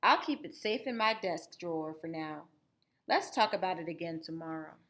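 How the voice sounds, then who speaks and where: A woman voicing a composed, fair-minded schoolteacher in a storybook, measured and authoritative. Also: on the low side, lively intonation, fairly bright tone. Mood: calm, firm.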